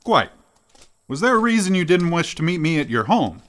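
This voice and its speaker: A polite, inquisitive professor in a radio play. His voice is bright and forward, fairly high, with very lively intonation. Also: moderate pace. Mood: pleasant, curious.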